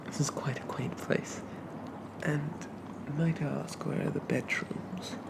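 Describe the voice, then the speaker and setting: A man playing a shy, old-fashioned undead zombie gentleman in an ASMR roleplay, speaking in a soft, breathy half-whisper with hesitant, quaintly polite phrasing. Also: on the high side, fairly even intonation, a little slow. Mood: delighted, bookish. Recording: constant loud background noise.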